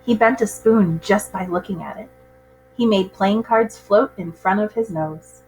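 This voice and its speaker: A woman reading a picture book aloud to children in an expressive, measured storytelling style. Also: mid-range voice, soft background music, rough recording.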